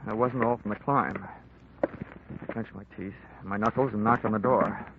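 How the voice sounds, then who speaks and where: A man's voice: lowish voice, steady tone, moderate pace, noisy background, natural voice. An actor playing a hard-boiled male insurance investigator who narrates his own case in a 1940s radio drama, dry and wisecracking. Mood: terse narration. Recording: muffled old radio broadcast with music.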